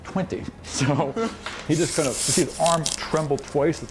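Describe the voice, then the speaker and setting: A man's voice: a little high, steady tone, normal speed, very noisy. A man improvising narration as game master of a tabletop fantasy game, with vivid, dramatic delivery. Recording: music behind the voice.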